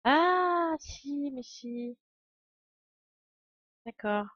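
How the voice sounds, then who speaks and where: A woman's voice: sits high, expressive, extremely slow, a bit bright, dry recording. A woman streaming a video game, chatting informally and jokingly as she thinks aloud. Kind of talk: casual live game commentary. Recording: dull, slightly lo-fi stream audio.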